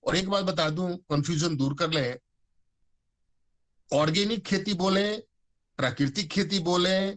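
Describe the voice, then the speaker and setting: A man's voice: high-pitched, measured pace, pauses often, a bit bright. A man, giving a conversational talk on a video call, full of fillers and English words. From Bihar, India. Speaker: guest speaker on organic farming. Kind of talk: informal talk to an online audience.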